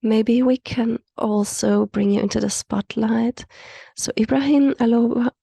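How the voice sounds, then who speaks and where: A woman from Africa, an Afrikaans speaker, hosting an online community call and introducing guests in a halting way that often drops into a soft whisper. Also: a little high, steady tone, vocal fry, slightly rough, dry recording. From South Africa. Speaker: host and facilitator.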